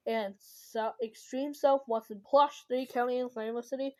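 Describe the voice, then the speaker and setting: A woman with a fairly high voice reads out a mock emergency warning. Her delivery is amateur and halting, broken into short chunks as she stumbles and corrects herself. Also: steady tone. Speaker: amateur emergency alert reader.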